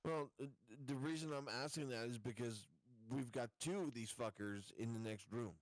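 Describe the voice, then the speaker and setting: A man playing a tabletop game, talking casually and thinking aloud in halting phrases. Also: mid-range voice, no echo, poor audio.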